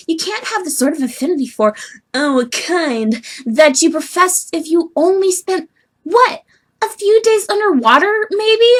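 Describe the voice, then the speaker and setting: A woman voicing an earnest, bubbly young female troll heiress from the sea, with expressive scolding, in an amateur audition style. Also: very high voice, heavily acted. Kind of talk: amateur animated-webcomic voice audition acting.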